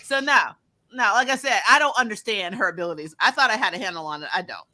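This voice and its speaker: A woman's voice: medium pitch, expressive, fast, pushed and bright. A woman co-hosting a casual review chat, animatedly retelling things and acting out quotes. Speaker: review show co-host.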